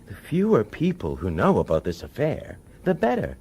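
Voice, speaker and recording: A man's voice: medium pitch, wildly swooping pitch, normal speed, darkish tone, husky, full character voice. An anxious police boss for radio drama. He has a mid-range voice with dramatic rises and falls. His manner is hesitant and probing, with uneasy authority. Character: nervous, fretful male police commissioner, uneasy authority.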